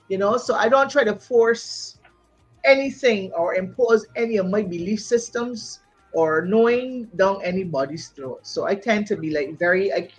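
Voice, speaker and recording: A woman from Barbados, a singer and entrepreneur, talking in an animated, casual chat full of "you know". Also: medium pitch, slightly rough.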